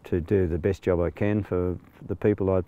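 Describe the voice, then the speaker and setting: Deep-voiced older Australian farmer. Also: male voice, steady tone, moderate pace, mellow, muffled recording. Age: 60s. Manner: plain-spoken, hesitant with many fillers. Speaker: farm manager. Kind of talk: on-location interview. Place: outdoors on a rural farm paddock.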